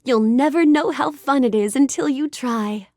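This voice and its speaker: A woman playing a sweet, curious, bookish young fairy-tale heroine in a video game, speaking with gentle, courteous wonder. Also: high-pitched, moderate pace, no room sound, studio quality. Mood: cheerful, excited. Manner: gentle, wonder-filled, courteous, expressive delivery. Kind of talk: animated game character dialogue.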